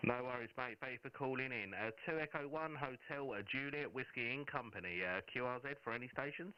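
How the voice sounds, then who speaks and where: A man hosting amateur radio contacts in a relaxed, friendly way. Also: medium pitch, a little fast, smooth, no room sound. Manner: relaxed, friendly, says "mate" often. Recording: muffled audio with faint noise.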